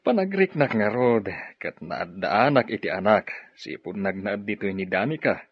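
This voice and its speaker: A kindly middle-aged Filipino bachelor in a radio drama. His fatherly voice is low and husky, with a lively rise and fall. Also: normal speed, dry recording. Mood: earnest, caring. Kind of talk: Ilocano radio drama acting. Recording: dry, dull lo-fi radio drama audio.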